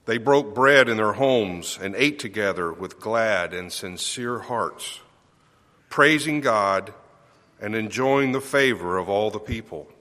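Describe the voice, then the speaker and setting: Low-voiced guy reading scripture real slow, big church reverb. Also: expressive, pushed and bright. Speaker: scripture reader.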